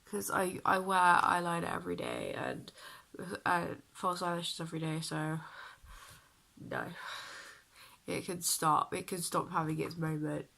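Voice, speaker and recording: A young woman with a deep, husky voice, sounding ill and croaky, chatting casually to the camera about her makeup and feeling sick. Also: little pitch movement, measured pace, pushed and bright. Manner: chatty and self-deprecating, sighing. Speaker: beauty vlogger.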